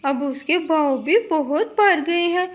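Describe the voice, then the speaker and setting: Woman playing a shrill resentful bird. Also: takes it slowly. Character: resentful complaining bird addressing fellow villagers. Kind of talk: children's cartoon story acting.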